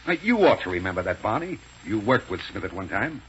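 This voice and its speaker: Bossy 1930s radio serial villain. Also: male voice, lowish voice, big pitch swings, fairly quick, a bit bright. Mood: brisk, explanatory. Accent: American. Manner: bossy, curt, impatient. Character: commanding male villain gang leader, impatient and scheming. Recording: muffled, noisy 1930s radio recording.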